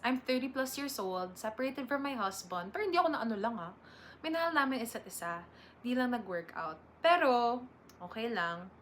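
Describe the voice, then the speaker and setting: A woman's voice: a little high, a bit bright. A Filipino woman playing a chatty, proud and bossy college instructor in her thirties in an amateur dramatic reading. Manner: chatty, officious. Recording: faint background noise.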